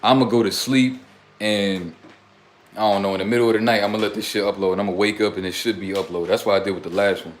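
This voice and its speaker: A man's voice: fairly low pitch, fairly even intonation, fast talker, slight background noise. A young man casually livestreaming to his chat, talking in loose streetwise slang, blunt and preachy, rambling from one topic to the next. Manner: rambling, drifts between topics. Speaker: self-styled life coach.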